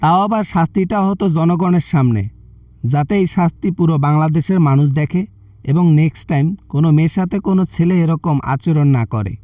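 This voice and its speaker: A man's voice: fairly high pitch, brisk, mellow, dry recording, clean studio sound, far from the mic, natural voice. A man with a Bangladeshi accent playing a self-righteous member of parliament who scolds and threatens condescendingly in a comic phone-call skit. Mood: righteous threatening. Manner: berating, repeatedly addressing the listener condescendingly.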